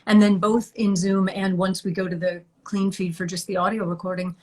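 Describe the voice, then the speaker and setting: Female stage actress guest, mid-range voice, warm, thoughtful, laughs easily, video call. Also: mid-range voice, flat delivery, a little fast. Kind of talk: interview answers.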